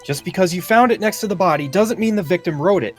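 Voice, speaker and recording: A man's voice: high-pitched, fast, soft background music, lightly acted. A man voicing an earnest, uneasy young defense lawyer in a video game, plain-spoken and questioning. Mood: challenging.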